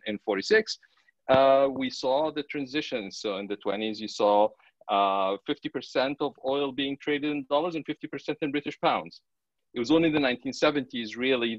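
Casting: male academic from Egypt, middle-aged, lowish voice, halting explainer, close dry but dull call audio. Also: no echo. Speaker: economics professor, panelist. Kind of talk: explanatory panel discussion. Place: home office with bookshelves. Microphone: video call.

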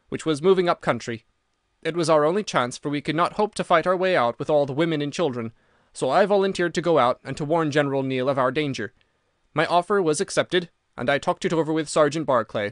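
A man's voice: on the high side, no room sound, clean studio sound, close to an everyday voice. A man voicing a bitter, broken older ex-soldier in an audiobook, telling his story with vehemence. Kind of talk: audiobook character reading.

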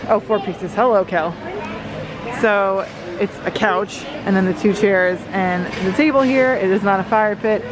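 Chatty woman in noisy store. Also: medium pitch. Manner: chatty, thinking aloud as items are spotted. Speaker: shopping vlogger. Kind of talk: casual shopping commentary to viewers. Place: large indoor warehouse store. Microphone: handheld vlog camera close to speaker. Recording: noisy big warehouse store ambience.

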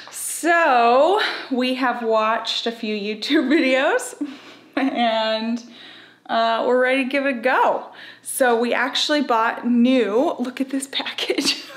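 A woman's voice: sits high, a bit bright. A young woman casually chatting on camera about a home renovation, with frequent laughs and admitted nerves. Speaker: vlogger.

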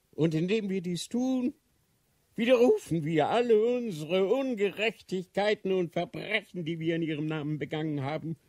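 Slow, husky aged Inquisitor. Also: male voice, a little high, dry recording. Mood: urgent dictation. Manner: solemn, halting, declamatory, rising to outcries. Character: aged, ailing Grand Inquisitor, remorseful, fervent, commanding. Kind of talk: German radio drama acting.

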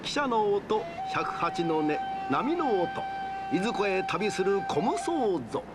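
A man's voice: sits high, very animated, takes it slowly. A man narrating a travel documentary in a playful, theatrical storyteller style with mock-archaic phrasing. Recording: music loud behind the voice. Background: loud music.

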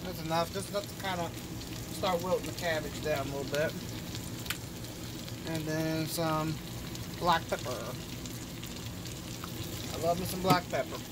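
A man casually chatting while he cooks in a small RV kitchen, rambling folksy talk with a phone camera held over the stove. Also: high-pitched. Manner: relaxed, rambling, folksy, with fillers. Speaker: home cook vlogger. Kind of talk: casual cooking vlog. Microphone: handheld phone camera over the stove. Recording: kitchen noise with sizzling food.

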